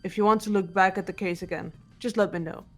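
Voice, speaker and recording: A woman's voice: mid-range voice, fairly even intonation, fast talker, soft background music, natural voice. A woman playing a composed, serious teenage girl detective in a mystery video game. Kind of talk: dramatic game dialogue and recap narration.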